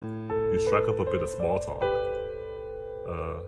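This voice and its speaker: Deep, slow man over loud piano music. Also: steady tone. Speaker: streamer playing a visual novel. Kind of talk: reading game text aloud with casual reactions.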